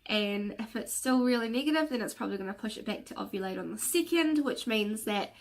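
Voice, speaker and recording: A woman's voice: fairly high pitch, expressive, a little fast. A woman vlogger talking in a casual, rambling way with many fillers and restarts, her nose a little stuffed from a cold so she sounds slightly nasal. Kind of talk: casual chatty vlog rant.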